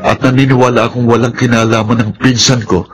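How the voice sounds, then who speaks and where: An actor playing a loyal, concerned cousin in a Filipino radio drama, hesitant and placating, with a Filipino accent. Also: male voice, medium pitch, level tone, quick pace, a little hiss or hum, natural voice.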